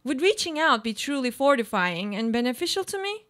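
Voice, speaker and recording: A woman acting a weary, guarded woman in a radio play, speaking literary monologue in a deliberate, declamatory way. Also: sits high, very animated, fairly bright tone. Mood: musing, guarded.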